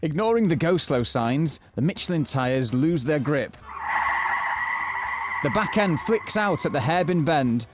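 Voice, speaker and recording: A man narrating a mock-thriller audio drama from a script, telling it in a florid, deadpan way. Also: a little high, unhurried, background noise.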